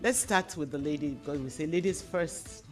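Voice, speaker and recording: A woman with a Nigerian accent hosting a radio discussion programme, phrasing her questions and points deliberately and emphatically. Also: deep voice, faint music behind.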